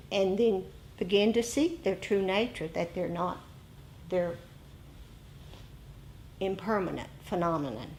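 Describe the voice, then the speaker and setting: A woman teaching meditation informally to a group, speaking in short, measured phrases with pauses between them. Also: lowish voice. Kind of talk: informal dharma talk and group discussion. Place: meditation group meeting room. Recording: noisy live room recording.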